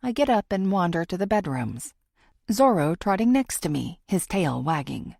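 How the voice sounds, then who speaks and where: A determined amateur female investigator thinks her way through a thriller audiobook. Her voice is slightly low with a creaky edge, moving expressively through a wide range as she reflects. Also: normal speed, dry recording, clean studio sound, full character voice. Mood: pensive, determined. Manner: expressive, introspective. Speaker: audiobook narrator. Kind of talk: first-person thriller audiobook narration.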